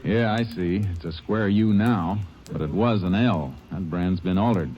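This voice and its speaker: A man playing a calm, shrewd Texas Ranger in a 1950s radio western, terse and authoritative. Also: low-pitched, moderate pace, slight background noise, lightly acted. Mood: firm, probing. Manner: terse, matter-of-fact, authoritative. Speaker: lead actor and first-person narrator. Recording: dull vintage radio recording, music cues.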